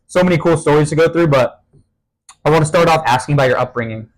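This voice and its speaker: Fast-talking man hosting a podcast. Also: fairly high pitch, rough recording. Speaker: podcast host. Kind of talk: casual interview.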